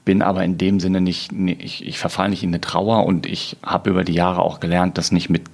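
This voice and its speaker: A middle-aged man, a veterinarian, talking in a thoughtful, slightly halting way. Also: low-pitched, little pitch movement, vocal fry, husky. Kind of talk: podcast conversation.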